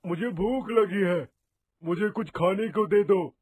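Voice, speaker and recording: A man's voice: sits high, takes it slowly, darkish tone, husky, dry recording, voice pushed down, full character voice. A man voicing a talking dog with a grave, warning manner in a children's cartoon story. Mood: hungry. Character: cautioning talking dog, a human turned animal.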